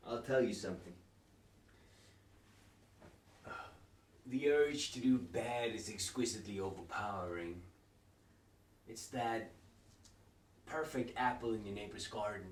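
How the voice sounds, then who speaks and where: An actor playing a cryptic, probing stranger who talks in riddles with a theatrical, taunting manner. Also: male voice, lowish voice, normal speed, lightly acted. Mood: philosophical. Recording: echoey, distant, noisy room.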